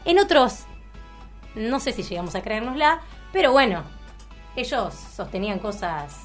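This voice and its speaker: A woman's voice: fairly high pitch, very animated, unhurried. Argentine woman chatting on radio over music. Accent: Argentine Rioplatense. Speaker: radio co-host. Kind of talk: casual panel chat.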